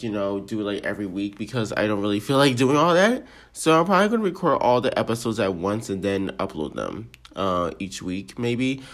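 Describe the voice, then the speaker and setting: A man's voice: on the low side, fairly bright tone. A nineteen-year-old man hosting a casual solo podcast intro, rambling and self-deprecating with lots of ums and little laughs, his voice a bit off from being sick. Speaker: podcast host.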